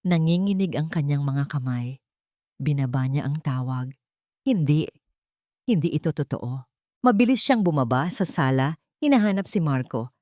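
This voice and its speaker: A woman narrating a dramatic love story in a steady, scripted storytelling manner. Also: sits low, moderate pace, no echo, clean studio sound.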